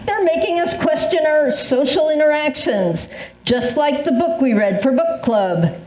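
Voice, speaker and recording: Older lady acting a paranoid conspiracy believer on stage, slow and very animated, insistent, echoey room. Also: high voice. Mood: alarmed, suspicious. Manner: emphatic, insistent, suspicious. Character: agitated older woman convinced of a mailbox conspiracy. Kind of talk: amateur readers theatre performance. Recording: roomy echo.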